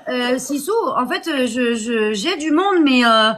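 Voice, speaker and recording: A woman's voice: high-pitched, lively intonation, unhurried, fairly bright tone. A woman hosting a live online debate, hesitant and full of fillers as she brings speakers up. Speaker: live stream host.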